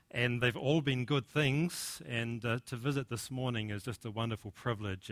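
A middle-aged man with a New Zealand accent, worn slightly American, preaches to a church congregation. His voice is bright and forward, and the sound is dry and close. He talks warmly, pokes fun at himself, and fills pauses with "uh". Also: medium pitch, dry recording. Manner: friendly, self-deprecating, frequent "uh" fillers. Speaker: visiting pastor. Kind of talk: sermon. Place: church sanctuary.

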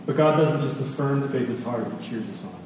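A man giving a sermon in a conversational way, telling personal stories with emphatic repetition and pauses. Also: medium pitch. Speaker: preacher. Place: large reverberant room with an audience. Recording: echoey hall, distant, faint noise.

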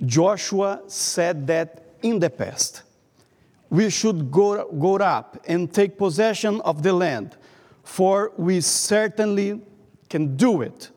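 A man preaching a sermon in English with a Brazilian Portuguese accent, speaking carefully and pausing often as he reads from his notes. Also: high voice, animated, a little mellow. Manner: careful, halting delivery, reading from notes. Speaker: guest preacher. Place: church sanctuary. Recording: long reverb of a church hall.

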